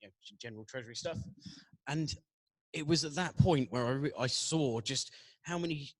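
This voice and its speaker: A man in casual conversation, speaking haltingly and stammering through many false starts. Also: mid-range voice, no room sound. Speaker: panel guest who works as a business analyst.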